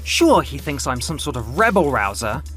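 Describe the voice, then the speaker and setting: A man's voice: mid-range voice, big pitch swings, normal speed, fairly bright tone, faint music behind, pitched above the performer's own voice. A man voicing a petulant, defensive young male muse, indignant and self-justifying, in an audio drama. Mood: put out.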